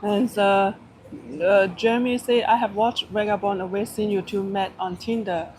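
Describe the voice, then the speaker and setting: A woman's voice: medium pitch, little pitch movement, normal speed, darkish tone, a little hiss or hum, low-quality recording. A woman co-hosting a live travel vlog, chatting casually in simple, broken English over breakfast. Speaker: vlog co-host. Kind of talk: casual live-stream chat. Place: restaurant at breakfast with crowd around. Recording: busy crowd chatter behind.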